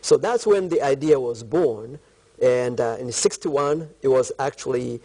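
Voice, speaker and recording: A middle-aged man from eastern Congo speaks with a Congolese accent as an interview guest. His voice is dark and mellow at a medium pitch. He answers deliberately, in short, halting phrases. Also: rough recording. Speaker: interview guest, country director of a volunteer organisation.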